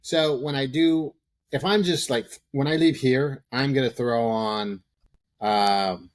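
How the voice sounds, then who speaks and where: A man chatting as a podcast co-host at an ordinary middle pitch. He talks in a quick, loose, candid way and drops casual swear words as he goes. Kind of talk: casual chat.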